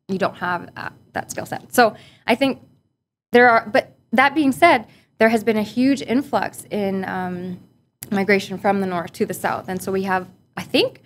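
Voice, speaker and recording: A woman from Barbados speaks in a medium-pitched voice, reflective and relaxed, often pausing on fillers. She laughs easily as she talks through her work. A faint rumble sits under the recording. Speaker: guest, policy analyst. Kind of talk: casual interview conversation. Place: office boardroom.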